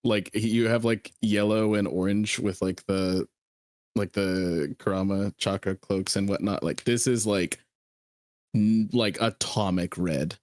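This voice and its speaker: A man in casual fan chat as a co-commentator, halting as he searches for words. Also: on the low side, steady tone, darkish tone, no room sound, studio quality.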